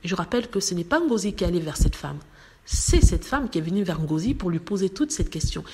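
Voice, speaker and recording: A woman's voice: fairly low pitch, sing-song, quick pace, mellow. A woman vlogger talking straight to her viewers in casual commentary, indignant and emphatic.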